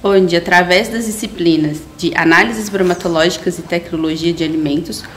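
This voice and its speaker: A woman giving a steady, formal explanation. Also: fairly low pitch, normal speed, a little hiss or hum. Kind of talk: explanatory statement.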